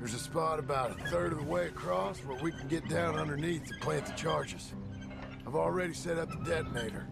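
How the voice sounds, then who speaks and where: Game role: jittery young outlaw, high voice with fry, big swings, loud score behind. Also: male voice, a little fast, loud music behind the voice. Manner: nervous and earnest. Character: anxious young male outlaw, family man. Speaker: outlaw gang member.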